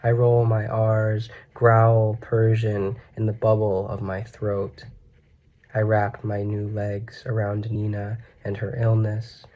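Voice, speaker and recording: Low, flat young man reading poetry. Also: takes it slowly, phone-quality sound. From United States. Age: 20s. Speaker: poet. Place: small plain room indoors.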